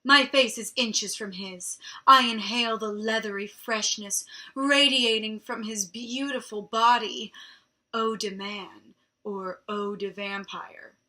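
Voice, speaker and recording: Young woman book vlogger, slow unhurried reading, a bit high, snarky side comments. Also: steady tone, bright, forceful tone. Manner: wry, drily mocking asides. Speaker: book reviewer host. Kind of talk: reading aloud with sarcastic commentary.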